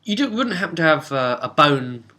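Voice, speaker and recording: A man's voice: fairly high pitch, sing-song, moderate pace, bright, forceful tone, voice pushed up. A man voicing an eager, insistent fantasy adventurer in a tabletop game, pleading and emphatic.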